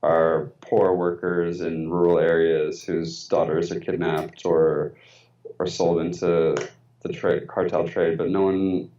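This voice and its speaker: A man's voice: low voice, little pitch movement, takes it slowly, mellow. A man with an American accent, a guest on a phone-in interview, thoughtful and hesitant with many fillers. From Mexico. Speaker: guest writer. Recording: tinny echoey phone line.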